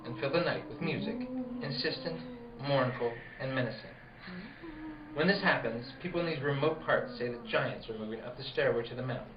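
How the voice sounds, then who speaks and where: Male announcer-narrator, mid-pitched, measured old-time radio storytelling, recorded off-mic with clear background noise. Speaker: announcer and narrator of a theatre show. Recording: distant, roomy, muffled, noisy recording.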